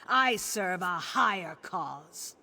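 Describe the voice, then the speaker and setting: An actress playing a defiant, unrepentant female zealot in a fantasy video game, with a raspy, strained voice. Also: lowish voice, animated, slow, sharp tone, exaggerated voice. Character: defiant female zealot serving a god's higher cause.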